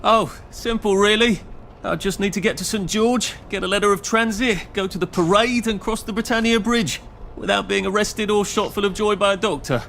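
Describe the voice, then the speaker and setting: A man's voice: high voice, normal speed, creaky, a bit bright, a little hiss or hum. An actor playing a mild, awkward English man in a game cutscene, hesitant, fussy and dryly sarcastic. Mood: hesitant, wry. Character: mild, awkward, exasperated everyman trying to escape. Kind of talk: video game cutscene acting.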